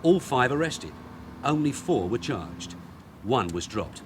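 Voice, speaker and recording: Veteran cop, middle-aged, dry and probing, pitch moves about a lot, radio crime play. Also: male voice, very animated, slow pace, noisy background. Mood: brisk, sardonic. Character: shrewd veteran police detective sergeant, blunt, probing. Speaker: detective sergeant. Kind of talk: radio drama acting.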